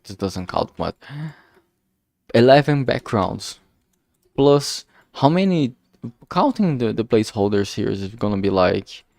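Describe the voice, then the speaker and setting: A man talking casually and reflectively on a solo stream, halting often as he searches for words. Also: fairly low pitch, vocal fry, a little mellow, no room sound, clean studio sound. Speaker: indie game developer streaming.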